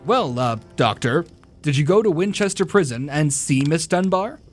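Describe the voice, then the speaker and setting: Old timey radio announcer, showy emphatic pitchman, higher voice, lively, music behind him. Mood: inquiring. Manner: emphatic, showy, deliberate pauses. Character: polished male radio show announcer, enthusiastic sponsor pitchman.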